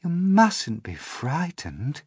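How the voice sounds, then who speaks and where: A man voicing a kind, motherly female ladybird in a storybook, speaking softly and soothingly. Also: fairly high pitch, huge pitch swings, slow pace, breathy edge, dry recording, studio quality, voice pushed up, exaggerated voice. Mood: kindly. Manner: soft and soothing.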